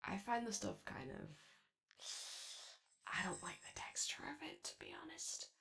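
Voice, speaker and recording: A woman's voice: extremely low pitch, wildly swooping pitch, normal speed, gravelly, pushed and bright, slight background noise, full character voice. A woman playing a shy, chatty animatronic daycare attendant in a roleplay ASMR, rambling tentatively and trailing off. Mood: hesitant, helpful. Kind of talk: roleplay ASMR, improvised-sounding casual chat.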